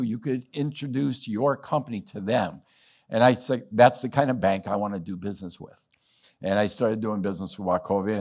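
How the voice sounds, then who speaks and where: A man's voice: mid-range voice, a little mellow. A middle-aged man from Western Massachusetts giving a storytelling talk at a business summit, acting out bits of dialogue and chuckling as he goes. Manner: anecdotal, acts out dialogue, chuckles often. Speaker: business owner speaking at a summit.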